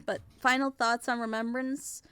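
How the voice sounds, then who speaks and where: A woman's voice: a little high, level tone, normal speed, fairly bright tone, clear, resonant tone, no echo. A talkative woman giving frank, opinionated critique in a casual group chat. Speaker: reactor on a group reaction show.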